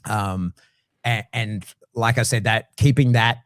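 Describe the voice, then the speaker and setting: A middle-aged Australian man talking casually as a guest, blunt and swearing freely, with lively swings in his voice. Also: lowish voice, slow pace, dry recording, clean studio sound. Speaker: guest dog trainer. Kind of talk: casual conversation.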